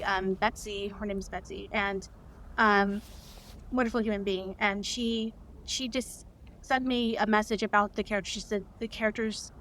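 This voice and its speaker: A woman speaking as an interview guest, chatting casually and earnestly, often halting to search for words. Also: mid-range voice, a bit bright, slight background noise. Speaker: interview guest, audio drama co-creator.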